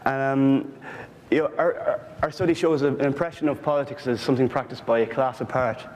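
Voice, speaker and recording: A man's voice: mid-range voice, fairly even intonation, a little mellow. Irish man in an echoey hall. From Ireland. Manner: earnest, halting, many restarts. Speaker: panel speaker, political researcher. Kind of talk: panel discussion.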